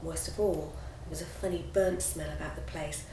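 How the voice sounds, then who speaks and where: A woman author narrating a chapter from her own children's book in an expressive, lively reading style. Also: low-pitched, normal speed. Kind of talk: storybook narration. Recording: roomy with background noise.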